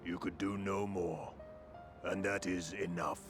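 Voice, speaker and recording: Deep, solemn, dignified leader. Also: male voice, steady tone, music in the background. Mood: solemn, consoling. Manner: formal, measured. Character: solemn, dignified male leader, grave and consoling.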